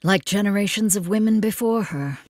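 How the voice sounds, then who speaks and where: An actress playing a dour, impatient woman companion in an audio drama, reciting with a dry, dramatic, measured manner. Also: mid-range voice, darkish tone, dry recording, close to an everyday voice.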